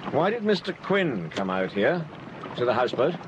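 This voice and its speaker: An actor playing a calm, questioning detective in a period radio drama. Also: male voice, medium pitch, normal speed, noisy background, natural voice. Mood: probing. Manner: crisp, questioning, composed. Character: calm, probing amateur detective, adult man. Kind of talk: 1940s radio acting.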